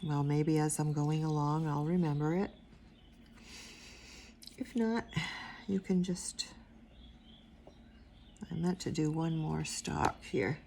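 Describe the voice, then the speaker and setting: Older woman art teacher, low gravelly voice, unhurried, quiet and mumbly. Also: fairly even intonation, slight background noise. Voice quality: quiet soft-spoken voice. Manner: rambling, trails off and mumbles while focusing. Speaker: watercolor instructor. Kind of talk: casual painting tutorial.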